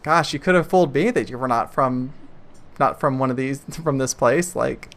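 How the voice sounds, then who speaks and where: A woman game master improvising narration for a tabletop game in a halting, conversational way. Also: extremely low pitch. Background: soft music.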